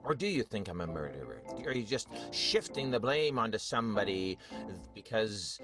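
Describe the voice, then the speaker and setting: A man playing a shifty, menacing, suspicious character in an improvised radio melodrama, halting and sly, repeating himself. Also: lowish voice, very animated. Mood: accusing. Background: piano music.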